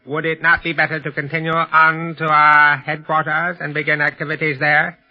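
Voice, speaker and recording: A man's voice: a little high, little pitch movement, lightly acted. An actor playing a cautious, formal henchman who serves a crime boss in a 1930s radio serial. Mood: cautious, deferential. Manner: formal, measured, subservient. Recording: muffled, dull 1930s radio recording.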